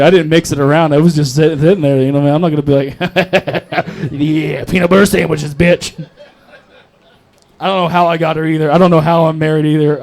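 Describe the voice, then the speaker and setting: A man's voice: fairly high pitch, darkish tone. A man performing stand-up at an open mic, telling stories in a crude, profane, self-deprecating manner. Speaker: stand-up comedian. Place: live open mic comedy show.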